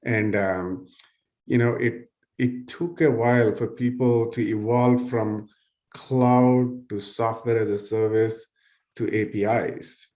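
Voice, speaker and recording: A man's voice: medium pitch, steady tone, slow pace, mellow. A man speaking on a panel as a nonprofit tech leader, with loose, searching phrasing and many fillers. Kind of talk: panel discussion.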